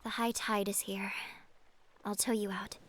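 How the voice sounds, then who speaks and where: A woman playing a gentle, merciful siren in a fantasy audio drama, speaking softly and earnestly, breathy at times. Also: mid-range voice, little pitch movement, unhurried, heavy background noise, natural voice. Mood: calm resolve. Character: gentle, merciful siren with power over the sea. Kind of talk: cinematic fantasy audio roleplay acting.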